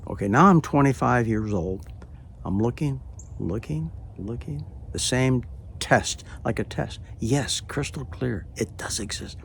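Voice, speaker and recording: Slow, gravelly older man telling a story. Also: medium pitch, animated, a little hiss or hum. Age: late 70s. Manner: hesitant, full of pauses and uh's, drops to near-whispers. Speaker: storyteller recounting a personal experience. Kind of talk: unhurried spoken personal narration.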